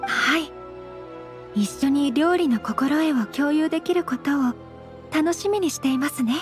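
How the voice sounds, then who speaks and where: A woman playing a refined, gentle young noblewoman in an anime game, speaking formally, courteously and earnestly. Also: high voice, expressive, measured pace, soft background music. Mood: polite, gentle. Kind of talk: anime game character acting.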